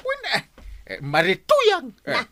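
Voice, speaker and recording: Old village captain in radio comedy, vain n excitable, voice jumps all over, hems n haws. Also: male voice, extremely high pitch, slow pace, a little hiss or hum, heavily acted. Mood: flustered, teasing. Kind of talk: comedic radio drama acting.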